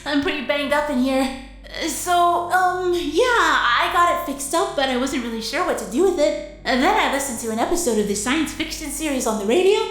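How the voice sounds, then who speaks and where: A plucky young girl inventor in an audio drama, with a high, eager voice. She giggles and hesitates as she explains herself. The room echoes slightly and music plays underneath. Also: animated, moderate pace, a bit bright. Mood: chatty, eager. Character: plucky teenage girl inventor turned walking war machine. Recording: roomy echo, music underneath.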